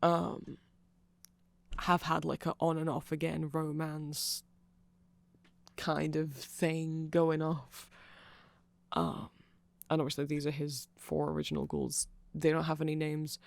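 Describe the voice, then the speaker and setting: Creaky mid pitched voice doing a rambly casual asmr explainer, opinionated, super dry close sound. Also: flat delivery, takes it slowly, no echo. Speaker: content creator.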